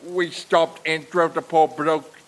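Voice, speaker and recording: A man playing a rough, defiant servant and criminal accomplice in audio theatre, talking bluntly. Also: fairly high pitch, level tone, slow. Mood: defiant confessing.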